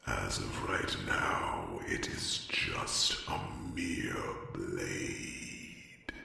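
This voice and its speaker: A man playing a grave, ancient dragon in a whispered ASMR fantasy roleplay, with slow, halting, ponderous speech and musing hums. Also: rumbling low, sing-song, gravelly, bright, forceful tone, heavily acted. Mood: grave, deliberate. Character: grave, ancient male dragon counselling a human companion. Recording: distant, echoey cavernous reverb, faint background noise.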